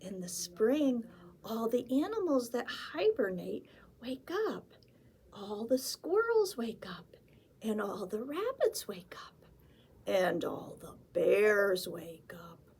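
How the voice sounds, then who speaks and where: A woman voicing a calm grown-up mouse who explains things in a soft, half-whispered, sing-song way. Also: mid-range voice, slow, slightly rough, exaggerated voice. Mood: patient.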